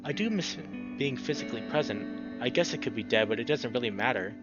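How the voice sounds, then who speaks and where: A man's voice: mid-range voice, expressive, a little fast, natural voice. A man voicing a caring, wry male coyote, a ghostly boyfriend in a visual novel. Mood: wry, worried. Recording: dull lo-fi sound, music behind.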